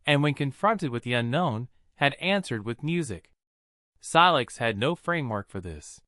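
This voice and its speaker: A man tells a science fiction story with dramatic, deliberate phrasing. His voice is bright and forceful, with lively swings in pitch. The sound is very dry and close, with no room echo. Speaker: story narrator. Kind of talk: scripted science fiction narration with acted dialogue.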